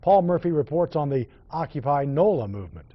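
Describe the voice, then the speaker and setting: A man's voice: fairly high pitch, very animated, brisk, background noise. A man reading scripted television news as an anchor. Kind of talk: scripted newscast narration. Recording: slightly dull broadcast audio.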